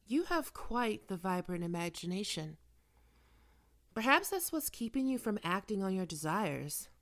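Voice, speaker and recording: A woman playing a probing, smoothly manipulative psychiatrist in an ASMR roleplay, speaking in measured, deliberate phrases. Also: medium pitch, fairly bright tone. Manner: measured clinical questioning with deliberate pauses. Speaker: voice actor performing a roleplay.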